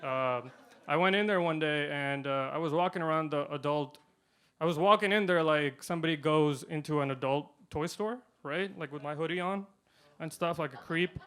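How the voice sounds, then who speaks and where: A man doing a stand-up comedy set, delivering punchlines deadpan and swinging between loud and quiet. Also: fairly high pitch, moderate pace, clear, resonant tone. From India.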